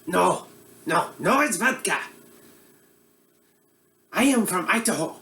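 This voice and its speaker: A woman playing a bumbling, shady male Eastern European TV cook who speaks slow, broken English and gets suspiciously defensive. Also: lowish voice, expressive, bright, forceful tone, far from the mic, heavily acted. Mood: deadpan. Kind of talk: comic cooking show parody. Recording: roomy, from the mic, faint ambience.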